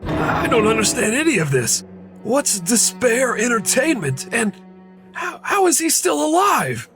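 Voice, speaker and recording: A man voicing a bewildered, anxious high-school student who stammers, in an anime fandub. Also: big pitch swings, a little slow, music in the background, heavily acted. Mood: confused, alarmed. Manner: flustered, stammering.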